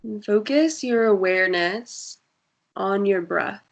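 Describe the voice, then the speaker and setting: A woman guiding a breathing meditation in a soft, soothing voice with long pauses. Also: mid-range voice, creaky, muffled recording. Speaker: meditation guide.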